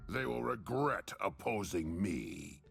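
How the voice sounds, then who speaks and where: A man playing a stern, brutal military commander in video game voice lines, with a gravelly, harsh voice and clipped commanding delivery. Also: deep voice, expressive, slow, a bit bright, recorded from a distance, full character voice. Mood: grim resolve. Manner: commanding, severe, clipped battle declarations. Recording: game clips with music behind.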